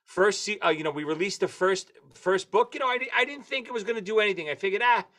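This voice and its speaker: A man in his forties with a New York accent, a touch higher in pitch than most men. He tells his stories in a lively, animated way over a video call from his home. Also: quick pace. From Brooklyn, New York. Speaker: guest drummer and author. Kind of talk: casual interview chat. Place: home room with lights and posters.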